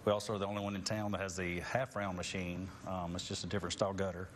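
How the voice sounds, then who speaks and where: A man's voice: deep voice, flat delivery, fast talker, a little hiss or hum. A middle-aged man chatting casually as a guest on a local TV show, rambling through a list, on a lapel mic. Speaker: awning company guest. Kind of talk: live TV interview. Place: TV studio set.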